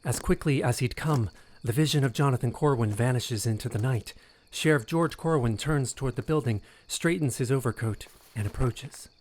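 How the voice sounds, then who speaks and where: A man's voice: medium pitch, normal speed, darkish tone, slight background noise. A man narrating an audio drama in a scripted, dramatic storytelling style.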